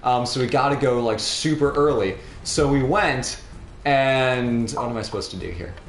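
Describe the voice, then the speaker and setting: A young man chatting casually and a little haltingly as he hosts a gaming livestream. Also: mid-range voice. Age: young adult. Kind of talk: casual chat while playing a game. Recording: roomy echo, faint background noise.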